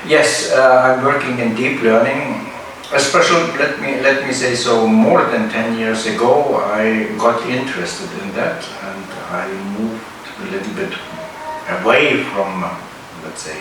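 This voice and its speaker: A man in his seventies from Germany, answering interview questions in a halting way as he searches for words. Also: medium pitch. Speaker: professor being interviewed. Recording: echoey room, distant mic, noisy.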